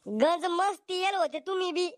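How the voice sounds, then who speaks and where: Cartoon comedy wife, male performer: sharp-tongued, complaining villager, extremely high voice, dry. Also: normal speed, no echo. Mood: resentful. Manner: nagging, complaining. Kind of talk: Marathi comedy cartoon acting.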